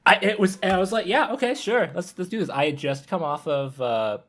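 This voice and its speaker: A man on a podcast panel sharing casual anecdotes, pausing often with ums. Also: sits high, fairly bright tone.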